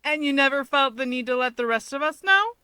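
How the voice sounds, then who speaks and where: A woman's voice: high voice, expressive, brisk, pushed and bright, dry recording, clean studio sound, voice pushed up. A woman voicing a blunt, teasing young heroine in an outspoken, matter-of-fact way in a story reading.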